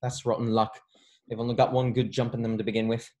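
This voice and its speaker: A frank young wizard boy, read by a grown man in a script table read. The voice is soft-edged and mellow at a middling pitch, casual and matter-of-fact. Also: little pitch movement, fast talker, slight background noise, natural voice. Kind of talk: online table read of a screenplay.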